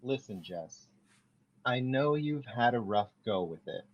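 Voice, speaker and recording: A man reading a part at a podcast table read, with a deadpan, mumbling manner. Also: mid-range voice, measured pace. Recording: dull lo-fi audio.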